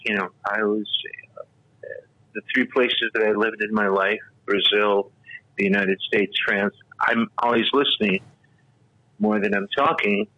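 A man's voice: on the low side, husky, no echo. A man in his sixties, a radio talk show host, telling stories in a rambling, casual way full of fillers and restarts. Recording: dull, lo-fi radio audio.

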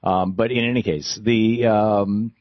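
A man's voice: on the low side, steady tone, a little slow, no echo, muffled recording. An American man in his sixties hosting a radio call-in show in a conversational talk style. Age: 60s. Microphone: close studio microphone.

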